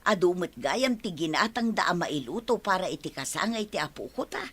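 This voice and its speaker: A woman's voice: on the low side, expressive, a little fast, fairly bright tone, husky, exaggerated voice. A woman playing a bustling grandmother in a radio drama, chiding and hurrying her husband along. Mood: fussing, fond. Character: bustling, nagging grandmother and wife.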